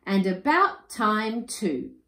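A woman's voice: very animated, a little slow. A woman voicing a pompous, lazy male emu father in a storybook reading, blustering and spluttering. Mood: smug. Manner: blustering, high-pitched spluttering when upset. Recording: roomy echo.

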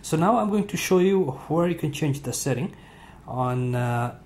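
A man hosting a tech tutorial, talking casually off camera in an indoor room with frequent fillers and pauses. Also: fairly high pitch, a little hiss or hum. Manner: relaxed, halting with frequent "uh" fillers. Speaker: tech video host.

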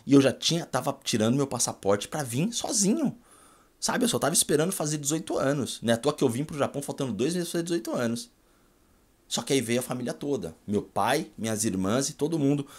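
A Brazilian man in his forties with a São Paulo accent, telling his life story in a casual, animated way in a small room at home and often ending lines with a quick "tá?" or "né?". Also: on the low side. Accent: São Paulo Brazilian Portuguese. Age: 40s. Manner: animated, gestures a lot, tags lines with "tá?" and "né?". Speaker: vlogger.

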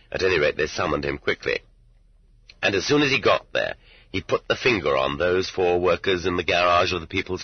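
Male narrator, low husky register, expressive literary reading, muffled cassette-era sound. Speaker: audiobook narrator. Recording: dull lo-fi roomy cassette audiobook.

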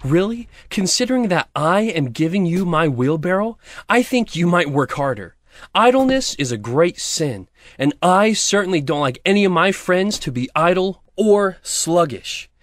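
Male actor as self-righteous miller, high-pitched, pompous, preachy, audio drama. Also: sing-song, moderate pace, dry recording. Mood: scolding, preachy. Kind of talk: audio theatre storybook acting.

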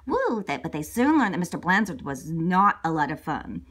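A woman reading a children's picture book aloud in a theatrical, animated way, adding little asides and repetitions. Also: deep voice, fairly quick.

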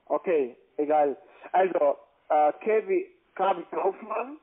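A man with a foreign accent, not a native German speaker, giving pushy phone instructions as a call-centre agent in halting, broken phrasing. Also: high-pitched. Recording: muffled lo-fi phone line.

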